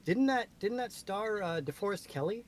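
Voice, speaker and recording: A man's voice: sits high, lively intonation, normal speed. A man telling anecdotes in casual conversation, stressing his points emphatically.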